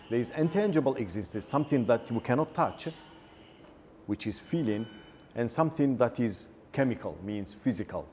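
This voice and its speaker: A man speaking as a guest in a discussion, measured and halting. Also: on the low side, darkish tone, slight background noise. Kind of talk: reflective discussion.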